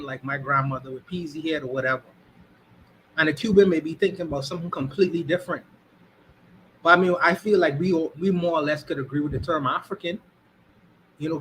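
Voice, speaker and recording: A man with a slightly high, bright voice speaks his mind in a relaxed chat. He trips over his words, restarts his sentences and keeps checking in with "you know what I mean". His tone is forceful and clear. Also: slight background noise, rough recording. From Bahamas. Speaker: guest. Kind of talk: casual opinion talk.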